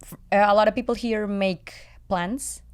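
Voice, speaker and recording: A woman's voice: medium pitch, fairly even intonation, unhurried, clean tone. A woman from Colombia with a Colombian accent, a native Spanish speaker, talking candidly and casually and repeating phrases for emphasis.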